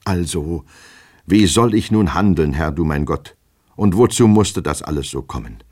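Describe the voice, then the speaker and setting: Guy reading as super deep-voiced nervous gentleman, audiobook, repeats himself, scolds himself, all worked up. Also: expressive, normal speed, slightly rough, full character voice. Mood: desperate. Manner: repetitive, stammering, agitated, talking to himself. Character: anxious, flustered, self-berating gentleman in despair. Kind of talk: dramatic audiobook character reading.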